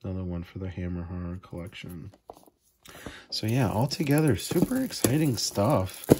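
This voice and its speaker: A man with a very low voice talks casually over a tabletop unboxing. He sounds keen and chatty, filling pauses with small ums and uhs. A faint hiss sits under the recording. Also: dark tone. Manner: enthusiastic, frequent fillers. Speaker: video host. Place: indoors at a table, off camera.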